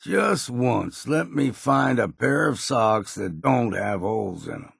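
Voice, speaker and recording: An actor playing a gruff, weary old hunter in a video game, grumbling and wary in a folksy, plainspoken rustic drawl. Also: male voice, medium pitch, animated, slow pace, fairly bright tone, no room sound, clean studio sound, heavily acted. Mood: tired grumbling. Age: older. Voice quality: gravelly, husky. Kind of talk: video game character voice lines.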